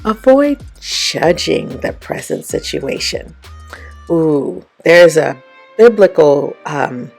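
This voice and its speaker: A woman with a raspy voice giving casual motivational advice to her viewers, often checking in with "okay?" and "right?". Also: deep voice, very animated, takes it slowly, a little mellow, soft background music. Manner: chatty, frequent "okay?" and "right?" check-ins. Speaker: vlogger and life coach. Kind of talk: casual motivational talk to camera.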